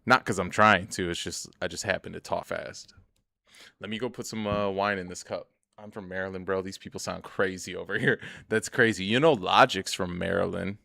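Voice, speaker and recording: Deep gravelly young man, casual streamer. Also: steady tone, moderate pace, sharp tone, no echo, clean studio sound. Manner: rambling, profane, chatty, often laughs. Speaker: gaming streamer. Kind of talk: casual gameplay commentary.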